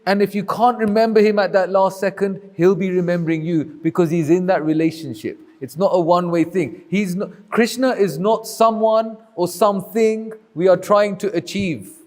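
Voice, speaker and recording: A man's voice: high-pitched, moderate pace, few pauses, a little mellow, in a large hall. A man teaching a group, answering questions with patient, reassuring explanations. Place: community hall.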